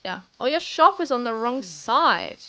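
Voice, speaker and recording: A woman's voice: fairly high pitch, big pitch swings, unhurried, sharp tone. A woman streaming live, patiently explaining a video game step by step to a newer player. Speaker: streamer coaching a new player.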